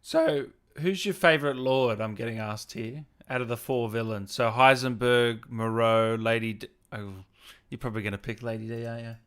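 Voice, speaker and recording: A man's voice: medium pitch, fairly even intonation, moderate pace, a bit bright, clear, resonant tone. A man hosting a casual livestream interview, conversational and informal as he reads out questions and chats. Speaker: interview host.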